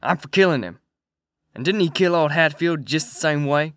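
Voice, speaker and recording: A man's voice: a little high, expressive, moderate pace, fairly bright tone, no room sound. A man voicing an angry, violent male robber who threatens a bound captive. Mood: menacing.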